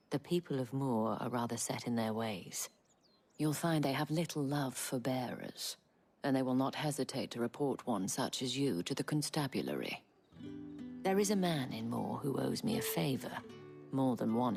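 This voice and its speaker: Knowing, influential madam for a game cutscene, husky-low creaky voice, faint music. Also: female voice, animated, darkish tone, full character voice. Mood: cautioning, knowing. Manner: measured, formal, coolly sly. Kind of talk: video game cutscene acting.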